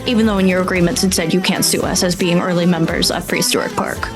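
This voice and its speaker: A woman's voice: fairly low pitch, fairly even intonation, fast talker, over music, natural voice. A woman playing a tired, rule-bound male theme park employee with an officious, dutiful manner in a tabletop game. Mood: weary, officious.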